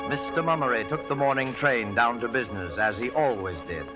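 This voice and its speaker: A man's voice: mid-range voice, lightly acted. A man playing a mysterious host of suspense tales in 1940s radio drama, formal and dramatic in delivery. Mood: measured storytelling. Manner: formal, dramatic, deliberate showmanship. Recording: dull vintage 1940s radio recording. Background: prominent music.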